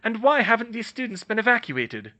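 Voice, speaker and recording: A man's voice: high voice, big pitch swings, a little fast, bright, forceful tone, lo-fi sound, pitched above the performer's own voice, exaggerated voice. A man reading aloud and voicing a stern, authoritative older headmistress. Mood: demanding.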